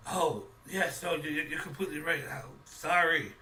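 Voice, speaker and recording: A man's voice: on the high side, moderate pace, pushed and bright, reverberant, distant mic, slight background noise, voice pushed up, poor audio. A man playing a flustered, apologetic townsman in a tabletop game, stammering as he talks. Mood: sheepish, apologetic.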